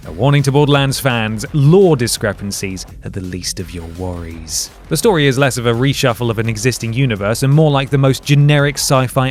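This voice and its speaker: A man reading a scripted video essay narration, with dry, sarcastic asides. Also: mid-range voice.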